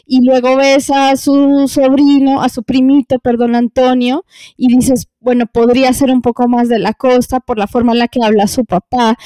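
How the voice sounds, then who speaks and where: A woman talks casually on a podcast, pausing and circling back as she looks for the right word. Her voice sits a little high and sounds mellow rather than bright. The recording is close and dry, with no room sound. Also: no room sound. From lived in Colombia. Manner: hesitant, searching for words, many fillers.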